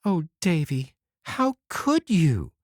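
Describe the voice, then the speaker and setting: A reader voicing a gentle, earnest young schoolteacher woman who speaks softly, in an audiobook. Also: medium pitch, sing-song, moderate pace, no echo, clean studio sound, voice pushed up, natural voice. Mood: sorrowful. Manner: soft, sorrowful, earnest. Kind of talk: audiobook character voice.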